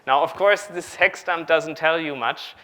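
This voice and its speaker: A man's voice: fairly high pitch, unhurried, sharp tone, rough recording. A man in his thirties giving a live technical demonstration as a conference speaker, hesitantly thinking aloud as he works. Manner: hesitant, thinking aloud while operating a laptop. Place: large auditorium stage at a conference. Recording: clear background hiss.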